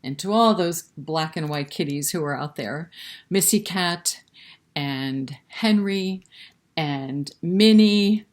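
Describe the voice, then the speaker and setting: A woman's voice: low-pitched, slow. A woman hosting a library storytime, reading a picture book and chatting directly to young children with questions. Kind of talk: children's picture-book read-aloud and chat.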